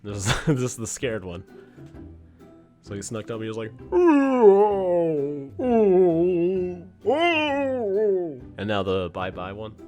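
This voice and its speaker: A man's voice: fairly low pitch, fairly even intonation, normal speed, pauses often, soft background music. A man chatting casually as he records a voice acting diary, jokey and thinking aloud. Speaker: creature voice actor recording a video diary. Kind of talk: casual behind-the-scenes chat.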